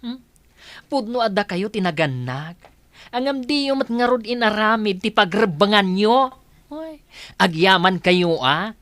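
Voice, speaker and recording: A woman's voice: medium pitch, expressive, unhurried, a bit bright, dry recording. A woman playing an indignant, blunt foster mother in a radio drama, scolding in an emphatic, confrontational way. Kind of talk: Ilocano radio drama acting.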